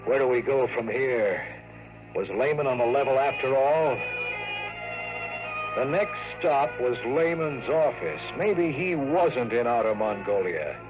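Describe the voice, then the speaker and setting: A man's voice: mid-range voice, expressive, measured pace, phone-quality sound, lightly acted. A man acting a cocky, sardonic American investigator in an old radio drama, pushy and wisecracking, with clipped slangy talk. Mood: wry narration. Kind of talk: 1950s radio drama acting with first-person narration. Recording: vintage radio recording with faint music.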